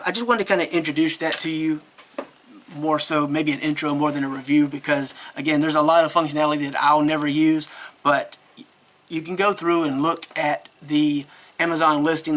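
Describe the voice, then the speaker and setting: A man's voice: a little high, a bit bright. A man giving a casual, unscripted product review in a rambling, hesitant way, often correcting himself as he goes.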